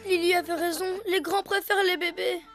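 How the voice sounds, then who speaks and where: A woman's voice: very high-pitched, steady tone, fairly quick. A woman voicing a sensitive, eager young boy turtle with a childlike, plaintive manner in a French cartoon dub. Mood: hurt, plaintive. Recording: music behind the voice.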